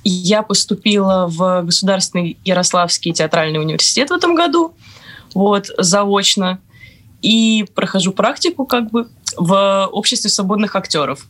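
A woman's voice: medium pitch, expressive, normal speed, a bit bright. Young woman chatting as interview guest. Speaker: theatre student, interview guest. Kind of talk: casual conversational interview answers.

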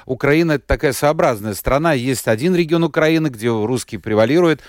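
Give me a man with an ordinary mid-range voice conducting an interview. He asks measured, probing questions. His voice sounds close and dry. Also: mid-range voice, no room sound.

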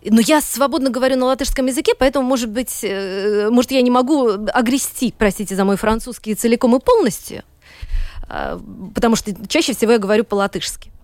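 A woman's voice: a little high, fairly quick, darkish tone, no echo, faint music behind. Earnest middle-aged woman in a studio interview. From Saint Petersburg, Russia. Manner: earnest, emphatic storytelling with many hesitations. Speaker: guest, teacher and economist.

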